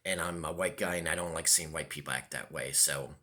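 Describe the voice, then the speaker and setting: A man's voice: rumbling low, fairly quick, sharp tone. A man, talking to the camera in a loose, rambling monologue, full of ums and restarts. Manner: hesitant, many ums and restarts. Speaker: vlogger.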